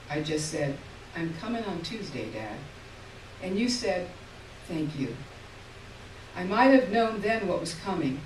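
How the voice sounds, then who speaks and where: Expressive woman reading fiction, distant roomy sound. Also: mid-range voice. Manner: expressive, emphatic on key words, pausing between short phrases. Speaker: reader of short fiction at a live literary event. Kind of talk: dramatic reading of literary short story. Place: live stage reading in a room. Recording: roomy, distant mic, faint noise.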